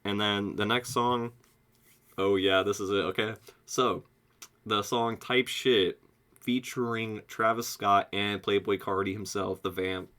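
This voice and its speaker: A young American man giving a casual, excitable album review, slangy and sweary, saying "like" constantly. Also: on the low side, a little slow, smooth. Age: young adult. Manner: excitable, slangy, heavy use of "like", frequent swearing. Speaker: music reviewer.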